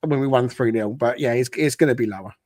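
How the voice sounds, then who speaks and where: A man's voice: mid-range voice, quick pace, noisy background. A man chatting and joking as a podcast co-host in a football quiz. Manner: jokey, chatty. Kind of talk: casual podcast chat.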